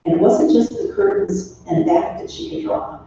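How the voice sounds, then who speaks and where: A woman's voice: medium pitch, big pitch swings, moderate pace, vocal fry. Older woman reminiscing in a very echoey room. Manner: rambling, anecdotal storytelling with trailing asides. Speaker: daughter giving a remembrance at a funeral. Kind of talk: informal spoken eulogy, reminiscing. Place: echoey room, away from the microphone. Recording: roomy, distant, muffled recording with faint noise.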